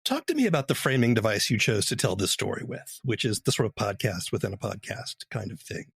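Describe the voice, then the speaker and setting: A man's voice: lowish voice, sing-song, a little fast, creaky, slightly rough, no echo. A man speaking as a podcast host, explaining and interviewing in a conversational style.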